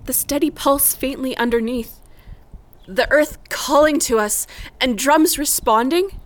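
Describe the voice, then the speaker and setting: Slow, high-voiced, resolute wronged wife. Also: female voice. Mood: wistful, haunted. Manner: slow, deliberate, intense delivery. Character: wronged, resolute middle-aged wife leaving her partner. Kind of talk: poetic audio drama monologue.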